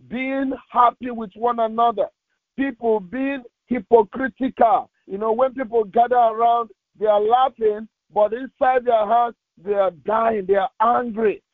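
Male pastor, very high voice, fervent insistent prayer in short repeated phrases, thin telephone-grade audio. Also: no echo. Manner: insistent, repetitive, phrase-by-phrase delivery. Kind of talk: fervent Pentecostal prayer sermon with exhortation. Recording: thin, phone-like radio sound.

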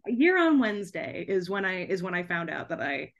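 A woman's voice: on the low side, big pitch swings, fairly quick, muffled recording. A young woman chatting casually and effusively as a podcast guest, giggly and sprinkling her talk with "like". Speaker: podcast guest, debut author.

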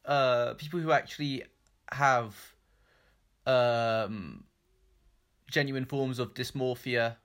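A British man co-hosting a casual news chat, talking in a hesitant, halting way and hedging as he goes. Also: mid-range voice, fairly bright tone. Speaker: podcast co-host.

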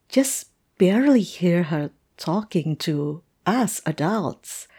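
A woman playing a playful, chatty teacher telling a colleague a story in an animated, amused, conversational audio roleplay. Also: on the low side, heavily acted. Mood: fond, chatty. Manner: animated, amused, punctuated with chuckles.

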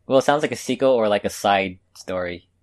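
A man with a slightly low voice chats as a podcast co-host. His delivery is casual and tentative, often qualifying what he has just said. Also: fairly quick. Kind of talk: casual chat about anime shows.

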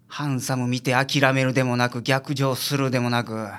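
ラジオドラマで、関西弁のぶっきらぼうで愚痴っぽい私立探偵を演じる男性。. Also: male voice, mid-range voice, little pitch movement, normal speed, fairly bright tone, clear, resonant tone, natural voice. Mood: skeptical, grumbling. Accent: Kansai. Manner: blunt, grumbling. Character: gruff, grumbling male private detective boss. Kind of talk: radio drama acting.

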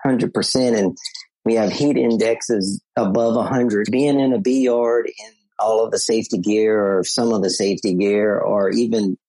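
A man's voice: on the low side, fairly even intonation, moderate pace, dark tone, husky, no echo, low-quality recording. A man, a podcast guest talking easily about keeping bees and repeating words as he thinks. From South Louisiana. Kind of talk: casual interview chat.

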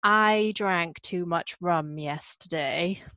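A woman hosting a podcast, chatting casually with quick asides. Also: fairly low pitch, bright, forceful tone, no echo. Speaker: podcast host. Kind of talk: casual chat.

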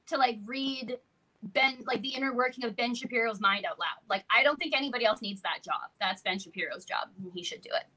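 A woman chats casually on a live stream in a quick, animated, teasing manner. Her voice sits a little high and sounds bright and forward, and she keeps the pace fast. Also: low-quality recording.

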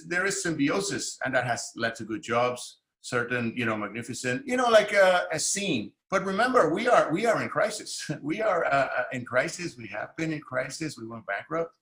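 A man from Puerto Rico, a teacher, talking through his views in a relaxed podcast chat with lots of restarts. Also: medium pitch, reverberant. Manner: animated, thoughtful, many restarts. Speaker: guest, arts and Spanish teacher. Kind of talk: casual podcast conversation.

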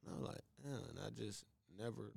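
Young man, slightly raspy, gravelly, laid-back drawl, dry close recording. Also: fairly low pitch, quick pace, a little mellow, no echo. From Atlanta, USA. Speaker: R&B singer guest. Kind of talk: casual interview chat.

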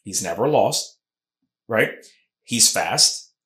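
Animated man hosting a podcast, echoey room. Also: lowish voice, takes it slowly. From Puerto Rican heritage. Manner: animated, emphatic, lots of restarts. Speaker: podcast host. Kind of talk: casual combat sports podcast chat. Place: Philadelphia podcast studio room. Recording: roomy echo.

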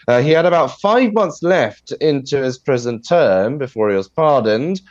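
A man with a head cold gives a plain, measured news explainer over a video call. His voice is nasal and a bit husky, and he fills pauses with "uh". The audio is dull, dry and muffled. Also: medium pitch, dry recording. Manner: steady explanatory delivery with many fillers. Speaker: news commentator. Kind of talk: radio news discussion.

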